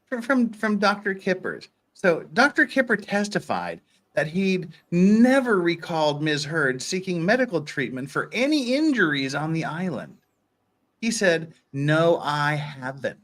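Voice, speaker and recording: A man with a fairly high voice reads courtroom dialogue as host of a panel. His pitch moves around a great deal, giving the reading a theatrical, animated feel with casual commentary mixed in. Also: measured pace.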